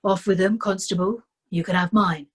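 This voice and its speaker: Woman doing a wry, brisk watch commander. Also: medium pitch, fairly even intonation, slightly rough, natural voice. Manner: brisk, wry, mock-cheerful. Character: dry, shrewd male watch commander.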